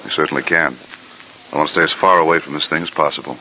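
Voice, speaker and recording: An actor playing an anxious, loyal store assistant in a 1950s radio drama, with a hesitant, stammering manner. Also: male voice, very deep voice, fairly even intonation, fast, over loud music. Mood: anxious, protective. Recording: noisy vintage radio recording.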